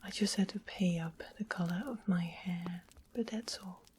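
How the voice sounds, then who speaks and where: A woman voicing a sweet, mischievous witch in an ASMR fantasy roleplay, in a breathy whisper with an unhurried, polite, coaxing manner. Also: on the low side, darkish tone, a little hiss or hum, close to an everyday voice. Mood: softly informative. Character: sweet-smiled, mischievous witch of the west, softly sly.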